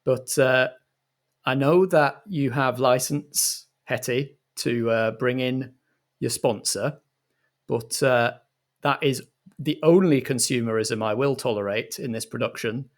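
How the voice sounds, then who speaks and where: A man playing a pompous, touchy director of a radio production in a comic audio drama, halting as he speaks. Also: mid-range voice, natural voice. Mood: stern, annoyed.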